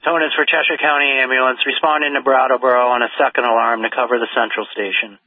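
A man working as a fire dispatcher, reading out formal, measured announcements over two-way radio and repeating each call. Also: mid-range voice, flat delivery. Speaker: fire mutual aid dispatcher. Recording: dull, band-limited radio dispatch audio.

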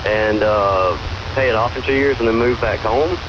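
A man's voice: fairly low pitch, normal speed. A polite, hesitant man from the southern United States calling a radio show and chatting casually, heard through a radio. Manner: hesitant, polite, addressing host as sir. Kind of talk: casual phone-in conversation. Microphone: call replayed from a portable radio speaker. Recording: dull, noisy AM radio relay of a call.

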